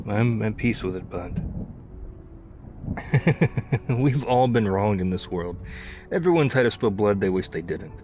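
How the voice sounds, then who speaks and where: An actor playing a tough, weary, loyal zombie apocalypse survivor in an intimate ASMR roleplay, speaking conversationally. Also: male voice, lowish voice, animated, measured pace, long pauses, noisy background. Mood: weary, reflective.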